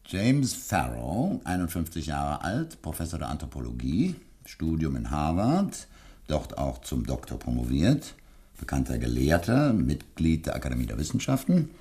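Obliging embassy official, very deep creaky voice, lively intonation, methodical, radio mystery. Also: male voice, full character voice. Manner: courteous, measured, reads out files methodically. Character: obliging, businesslike male embassy official briefing investigators. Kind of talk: German radio drama acting.

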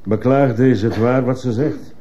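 An actor playing a stern, sceptical judge questioning a witness with authority in a radio drama. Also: male voice, medium pitch, steady tone, a little slow, dark tone, natural voice. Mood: probing, sceptical. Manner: authoritative, probing. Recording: muffled, slightly noisy old radio recording.

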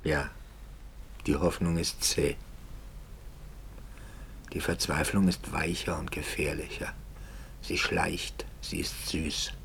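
An actor playing a troubled middle-aged priest in a 1950s radio drama, brooding and hushed, with bursts of passion. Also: male voice, very deep voice, level tone, slow, creaky, long pauses, slight background noise, exaggerated voice. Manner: brooding, swings from hushed whispers to impassioned outbursts.

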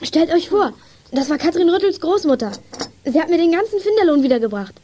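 A female performer playing a plucky, quick-witted schoolgirl in a children's radio play, pert and friendly. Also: very high-pitched, lively intonation, mellow, a little hiss or hum. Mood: sincere, pleased.

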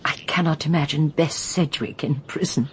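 A woman's voice: low voice, moderate pace, slight background noise, natural voice. An older actress playing an observant, genteel elderly lady sleuth in a British radio drama, with an English accent and a prim, precise manner. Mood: sorrowful, certain. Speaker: actor in a radio mystery drama.

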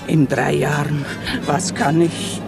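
An actress playing a pious, guilt-ridden old maidservant, halting and deferential, in a radio play. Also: female voice, low-pitched, flat delivery, creaky, loud music behind the voice, heavily acted. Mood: brooding. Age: older.

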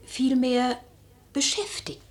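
An actress playing a respectful, observant maidservant in 1940s German radio drama style, measured and deferential. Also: female voice, high-pitched. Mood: respectful, thoughtful.

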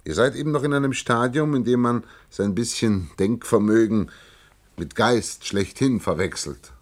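An actor playing an earnest young scientist from another planet in a radio play, halting at times and given to grave pronouncements. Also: male voice, mid-range voice, natural voice. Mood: exasperated. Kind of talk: German radio play acting.

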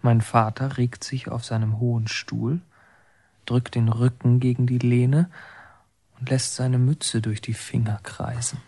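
An actor playing a policeman's son who narrates his memories in a measured, observant way for a radio drama. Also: mid-range voice, level tone, dark tone. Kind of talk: scripted radio drama narration, present tense.

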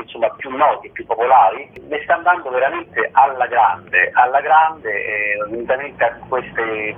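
A man speaking as a guest in a radio interview, searching for words at times. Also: medium pitch. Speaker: guest, festival organiser. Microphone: telephone. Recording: narrow, tinny phone line.

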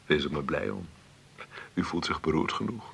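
A man's voice: very deep voice, normal speed, gravelly, fairly bright tone, slight background noise, heavily acted. An actor playing a man in his forties in a Dutch radio play, soothing and coaxing his uncle with cheerful encouragement. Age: 40s. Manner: coaxing, reassuring. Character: forty-three-year-old nephew, soothing and cheerfully encouraging.

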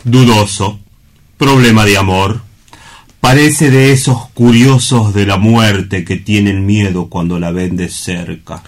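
A gloomy dutiful sanatorium assistant, lowish voice, dry and deferential, radio play acting. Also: male voice, steady tone, slow pace. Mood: dry, reporting. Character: gloomy, dutiful male sanatorium assistant reporting to his doctor. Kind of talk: theatrical radio drama acting.

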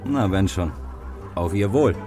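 An actor playing a bored, worldly, genial drinker with a laconic manner in an old radio drama. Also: male voice, deep voice, expressive, unhurried, over music. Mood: world-weary. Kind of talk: 1960s German radio drama acting.

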